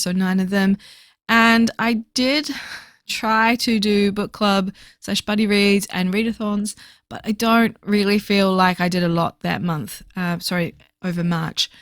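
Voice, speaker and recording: Slightly hoarse, chatty woman vlogger. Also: mid-range voice, steady tone, no room sound. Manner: chatty, many fillers, talks fast. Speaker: book vlogger. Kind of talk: casual voiceover for a reading journal video.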